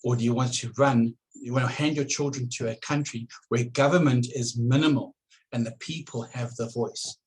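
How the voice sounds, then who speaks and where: Man, South African accent, election candidate, earnest campaign talk, stumbles and repeats words, mid-pitched voice. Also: steady tone, normal speed, darkish tone, poor audio. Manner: earnest, repeats words when starting phrases. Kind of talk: campaign video address.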